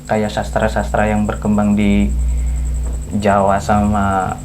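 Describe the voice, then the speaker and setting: A man's voice: on the low side, monotone, takes it slowly, darkish tone, slight background noise. A man from Southeast Sulawesi, a podcast guest, explaining in casual conversation with frequent self-corrections. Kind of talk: casual chat.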